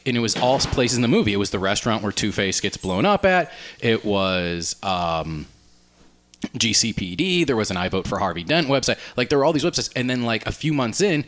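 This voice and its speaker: A man's voice: fairly low pitch, fairly bright tone. A man co-hosting a podcast, casually discussing pop culture in an opinionated, questioning way. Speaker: podcast co-host.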